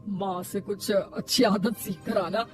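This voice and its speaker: A woman voicing a sharp-tongued, sarcastic and exasperated older mother-in-law in a Hindi cartoon moral story. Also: mid-range voice, steady tone, a little slow, lightly acted. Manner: scolding, sarcastic. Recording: music behind the voice.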